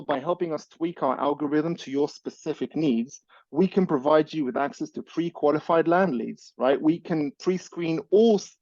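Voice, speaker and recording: Irish guy pitching his startup on a call, quick and pushy, warm tone, kinda muffled. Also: dry recording. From Ireland. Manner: energetic, persuasive, fast-talking with restarts. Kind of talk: business pitch and Q&A. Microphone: video call.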